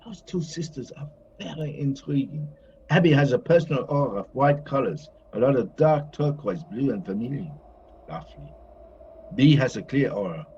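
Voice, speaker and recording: A man's voice: mid-range voice, dark tone, lightly acted. An actor playing a whimsical, courtly male painter in an audio play, moody yet carefree, with a theatrical, lyrical way of talking. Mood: dreamy. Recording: dull, slightly noisy recording.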